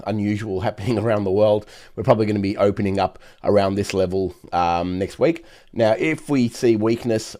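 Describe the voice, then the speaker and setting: A hoarse man who has nearly lost his voice, giving brisk trading chart commentary with many fillers. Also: on the low side, darkish tone. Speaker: market analyst host.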